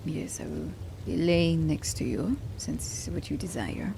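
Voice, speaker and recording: A woman playing a reserved assassin who is grudgingly tender, speaking hesitantly and softly in an ASMR romance roleplay. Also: sits low, expressive, moderate pace, creaky, noisy background. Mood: matter-of-fact. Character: reserved female assassin, grudgingly tender toward captive werewolf.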